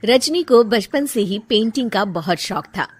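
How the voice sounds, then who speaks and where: A woman storyteller narrates a scripted family moral tale. Her pitch is middling for a woman but moves a lot, giving the narration an animated storybook delivery. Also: measured pace, husky, soft background music.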